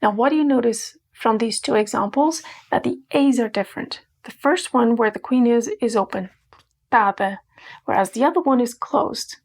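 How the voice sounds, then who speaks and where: A woman's voice: a little high, a little mellow. A woman from Portugal, teaching a playful, emphatic scripted language lesson. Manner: playful, emphatic, slows to spell out words.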